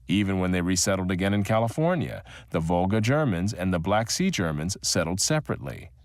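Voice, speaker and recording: A man reading a history audiobook in a measured, even-toned narration. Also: deep voice, no echo, studio quality.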